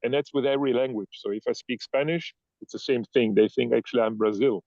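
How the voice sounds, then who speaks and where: A gravelly man with a mixed German-Italian accent, German being his first language. He rambles and hunts for words in a casual interview. The sound is dry and dull, like a lo-fi online call. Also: mid-range voice, fast, a little mellow, dry recording. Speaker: guest. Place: Ibiza.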